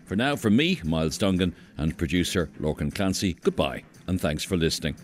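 Irish guy hosting a radio history show, really deep voice, dry humour. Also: animated, faint music behind. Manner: measured, wry, good-humoured. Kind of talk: radio interview and sign-off.

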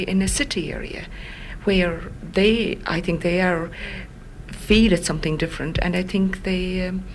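A woman with a Connemara Irish accent, a native Irish speaker, talks through an interview answer. Her voice sits a little low with a gravelly edge. She hesitates often, piling up fillers and restarts as she thinks aloud. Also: a little hiss or hum, low-quality recording.

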